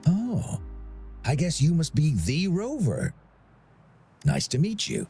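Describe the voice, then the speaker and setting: A man's voice: on the high side, slow, vocal fry, slightly rough, faint music behind, exaggerated voice. An actor playing a genial, talkative older man who directs a sporting festival in a video game. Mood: friendly, chatty. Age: middle-aged. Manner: genial, chatty, expressive.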